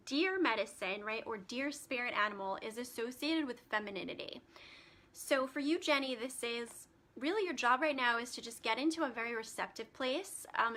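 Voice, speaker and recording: A woman's voice: a little high, pushed and bright. A woman hosting a live stream as a spiritual healer, chatting casually with many ums and reading out card meanings, her voice turning scratchy. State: voice getting scratchy.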